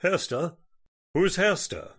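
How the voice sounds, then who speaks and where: Genial older judge male actor, old-time radio horror; folksy, deliberate, very lively intonation. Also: sits high, heavily acted. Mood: puzzled. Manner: folksy, deliberate, hesitant politeness.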